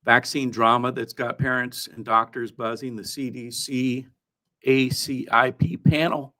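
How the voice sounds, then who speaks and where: Male news countdown host, mid-pitched, halting delivery, half-scripted commentary with dry asides. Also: fairly even intonation, bright, forceful tone. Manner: halting, with frequent throat clearing and wry asides. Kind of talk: news commentary, part read, part ad-libbed.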